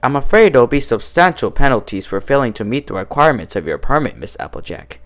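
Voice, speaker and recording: A man's voice: medium pitch, sing-song, fast, a bit bright. A man voicing an officious male pony bureaucrat in a cartoon story, formal and politely condescending. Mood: smug, officious. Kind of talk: cartoon fan fiction character reading.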